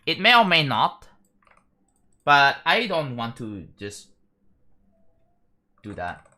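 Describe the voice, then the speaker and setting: A young man from Indonesia with an Indonesian accent, chatting casually on a live stream, rambling and halting with frequent restarts. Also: mid-range voice, big pitch swings, sharp tone. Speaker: livestreamer.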